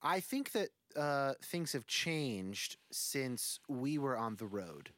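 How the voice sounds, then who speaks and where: A man's voice: medium pitch, sing-song, slow, fairly bright tone, no echo. A man in his forties, a musician guest on a podcast, talking casually in a rambling, thoughtful way with many fillers. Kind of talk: casual conversation.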